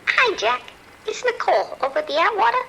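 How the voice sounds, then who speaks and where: Kind hotel desk worker played by a woman: strikingly high, anxious, familiar, slight noise behind, audio drama. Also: very animated, brisk, vocal fry, sharp tone, full character voice, low-quality recording. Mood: worried, sympathetic. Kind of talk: naturalistic audio drama acting.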